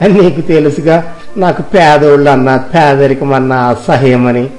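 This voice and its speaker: A man playing an arrogant rich village husband in a moral story, complaining and exclaiming in drawn-out phrases. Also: medium pitch, fairly even intonation, a little mellow, faint music behind, natural voice, poor audio. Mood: sheepish explaining. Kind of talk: acted moral-story dialogue.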